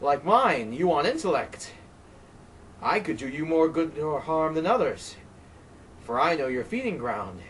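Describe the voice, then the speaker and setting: A man's voice: very animated, a little slow, far from the mic, poor audio. A man voicing a frantic, terrified man who rages and pleads against the wind. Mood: feverish. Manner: shrill, shouting, panicked.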